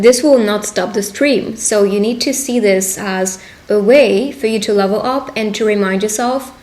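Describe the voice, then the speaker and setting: A woman speaking conversationally and encouragingly as a witch giving a personal love reading, pausing to interpret as she goes. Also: medium pitch, darkish tone. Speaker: tarot reader and roleplay video host. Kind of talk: intimate roleplay fortune-telling addressed to the listener.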